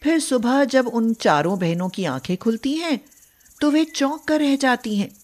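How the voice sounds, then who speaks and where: A woman's voice: a little high, expressive, a little slow, darkish tone. A woman narrating a scripted children's moral story as a storyteller.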